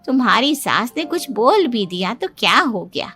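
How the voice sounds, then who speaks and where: A woman's voice: on the high side, very animated, normal speed, bright, forceful tone, faint music behind. A woman voicing a patient older mother who soothes and advises her daughter. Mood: soothing.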